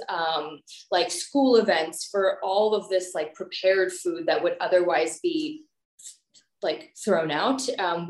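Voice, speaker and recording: A young woman giving casual, chatty interview answers peppered with "like". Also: lowish voice. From United States and Pakistan. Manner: informal, chatty, frequent "like". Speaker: podcast guest, nonprofit founder. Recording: roomy, echoey sound.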